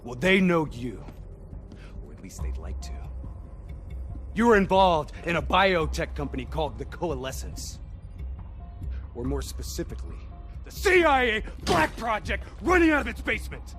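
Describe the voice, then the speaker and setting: An actor playing a hot-headed, sarcastic special forces soldier in a video game cutscene, barking and confrontational. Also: male voice, a little high, wildly swooping pitch, normal speed, pauses often. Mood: menacing, aggressive. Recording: loud music behind. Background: loud score.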